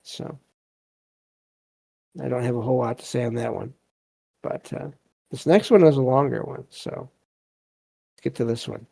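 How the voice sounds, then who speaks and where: Slow-talking man, mellow and creaky. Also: medium pitch. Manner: halting, hesitant, reflective delivery. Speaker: podcast host. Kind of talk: casual commentary and reading listener stories aloud.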